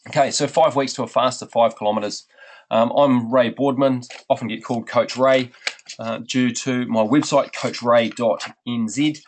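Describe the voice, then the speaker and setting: A middle-aged running coach with a New Zealand accent, blocked up with a head cold, giving a webinar in an unhurried, friendly way with many ums. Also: male voice, mid-range voice, steady tone, a little hiss or hum. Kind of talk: webinar presentation.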